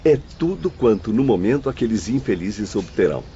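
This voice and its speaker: Man voicing a polite earnest spirit helper, middle pitch, bit dull with a faint hiss. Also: sing-song, brisk, mellow. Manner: courteous, earnest. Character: cordial male spirit assistant. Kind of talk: audio drama acting.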